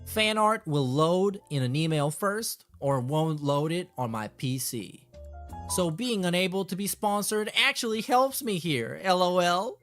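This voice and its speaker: A man's voice: high-pitched, lively intonation, unhurried, a bit bright, faint music behind. A man hosting a reaction stream, reading messages aloud and commenting casually in a sardonic, dismissive, sweary way.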